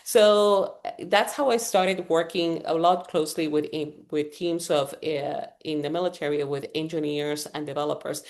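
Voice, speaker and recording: A woman in her forties with a Puerto Rican accent and a notably low, deep voice. She is a native Spanish speaker chatting as an interview guest, often circling back with "uh" and restarting her sentences. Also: moderate pace, hardly any pauses, a little mellow. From Puerto Rico. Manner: rambling with many fillers and restarts. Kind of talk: conversational interview.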